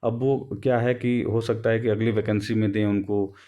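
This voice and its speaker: Low, mellow man explaining. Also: little pitch movement, normal speed. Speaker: YouTube host explaining a court case update. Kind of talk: informal explanatory commentary, reading from a document.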